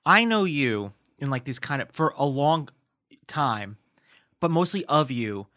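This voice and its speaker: A man hosting a podcast, teasing his guest in quick comic banter on a close mic. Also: medium pitch, very animated, sharp tone, no echo. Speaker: podcast host. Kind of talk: casual comedic chat.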